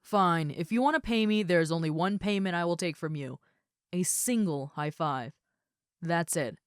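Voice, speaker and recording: A woman's voice: lowish voice, normal speed, smooth, dry recording, studio quality, lightly acted. A woman playing a muscular female ogre roommate in a roleplay audio, friendly, easygoing and caring, with a casual, chatty way of talking and hesitant asides. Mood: playful, reassuring. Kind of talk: roleplay audio acting, addressed to the listener.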